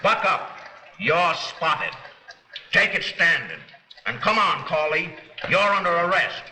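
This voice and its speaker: An actor playing a shrewd, blunt small-town lawman in a 1930s film, deliberate and dryly sarcastic as he probes and accuses. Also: a little high, expressive, sharp tone, full character voice. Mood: accusing, stern. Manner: deliberate, probing, with dry sarcasm. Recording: roomy 1930s film soundtrack, music underneath.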